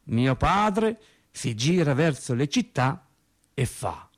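Animated high-voiced working-class storyteller. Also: male voice. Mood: wry reminiscing. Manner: animated storyteller, dramatic pauses and emphatic swings. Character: working-class man recalling childhood Sundays, wry and naive. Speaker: stage actor. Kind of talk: theatrical first-person monologue, storytelling for radio drama.

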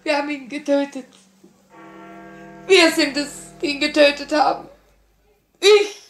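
A fairly high female voice with a creaky edge, slow and solemn. She is a grave revolutionary, sorrowful and tender yet resolute, in the style of a 1950s German radio play. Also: soft background music. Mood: anguished. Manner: measured, solemn delivery.

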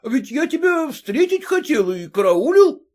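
A chatty village schoolteacher teases an old friend in a storybook reading, as a male narrator puts him on. His voice sits high and swings widely. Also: very animated, a little slow, a little mellow, full character voice. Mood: teasing. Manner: teasing, talkative.

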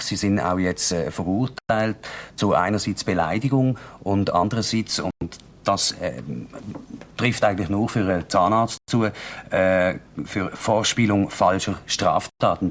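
A man explaining a case in an old TV interview, growing animated when he stresses a point. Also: deep voice. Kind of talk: archival TV interview.

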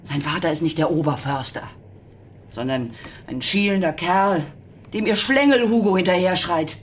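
A woman's voice: on the low side, animated, moderate pace, close to an everyday voice. A woman playing an irritable, worn-out middle-aged working-class mother in a German radio drama, volatile and theatrical. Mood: scolding, needling.

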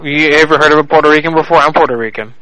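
Pushy prank-calling man, bright voice. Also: fairly high pitch, racing, dry recording, rough recording. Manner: mock-serious, crude, pushy. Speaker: prank caller. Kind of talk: prank phone call.

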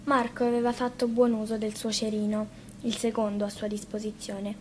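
A woman reads a children's fairy tale from a script. Her voice sits fairly high, and there is faint noise in the recording. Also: flat delivery, moderate pace, a little mellow. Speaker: storyteller. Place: radio studio.

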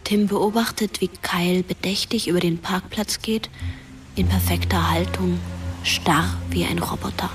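Actress doing ghost teen girl narrating a radio play, soft, reproachful, bit far from mic, loud music under. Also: on the low side, steady tone, a little slow, close to an everyday voice. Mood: hushed, somber.

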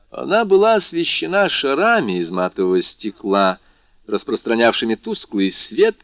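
A man's voice: mid-range voice, dry recording, highs cut off. A man reading literary fiction aloud as a scripted audiobook narration.

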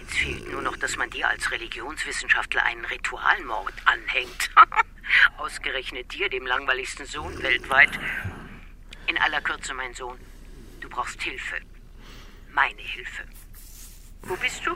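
A woman's voice: very deep voice, unhurried, long pauses, sharp tone, slight background noise, heavily acted. An actress playing an overbearing, sarcastic elderly mother in a radio drama, speaking with theatrical, insistent delivery. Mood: mocking, insistent. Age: older. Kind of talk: German radio drama acting.

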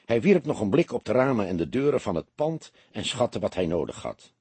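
A male audiobook narrator with a very husky voice reads literary prose. His delivery is expressive, with lively pitch movement, and the recording is close and dry. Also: brisk, dry recording.

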